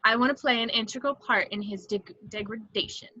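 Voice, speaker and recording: A woman's voice: a little high, brisk, bright, forceful tone, slightly rough, muffled recording. A woman playing a sly, vengeful villainess with a seductive, dry manner, in an amateur film script read. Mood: vengeful, sultry. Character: sultry, vengeful, sly female cat-themed villainess.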